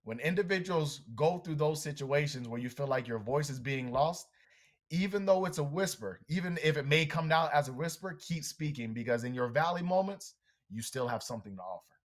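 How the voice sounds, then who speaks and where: A man who is a guest on a podcast, giving an energetic motivational talk full of storytelling and speaking straight to the listeners. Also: a little high, fairly quick. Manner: animated, energetic, addresses listeners directly.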